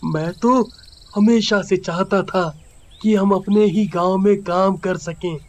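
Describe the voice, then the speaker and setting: A man's voice: high-pitched, fairly even intonation, slow. A man voicing an earnest, plain-spoken village workman in cartoon story dialogue. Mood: eager.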